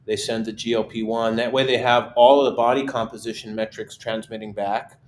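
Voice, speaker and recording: A man hosting a casual webinar chat, talking in a relaxed, steady way with frequent restarts. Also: lowish voice, brisk, a little hiss or hum. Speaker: host.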